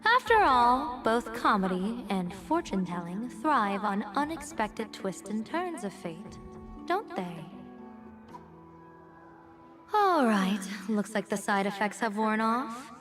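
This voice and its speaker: A woman's voice: on the high side, big pitch swings, slow. A woman acting a poised, playful young general and fortune-teller in a video game, with a teasing, theatrical delivery. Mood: amused. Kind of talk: acted game dialogue. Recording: music behind the voice. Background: game music.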